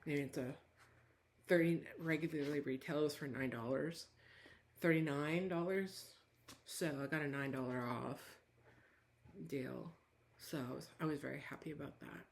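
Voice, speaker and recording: A hoarse woman giving a chatty, rambling book review full of fillers and restarts. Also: sits low, normal speed, pauses often, slight background noise. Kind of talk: casual book review chat.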